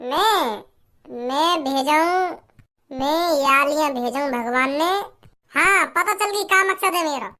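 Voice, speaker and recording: A man playing a cheeky, whiny young son in a comedy cartoon, with a pitched-up voice. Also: slow pace, sharp tone, full character voice. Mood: gleefully cheeky. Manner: whiny, argumentative, repetitive.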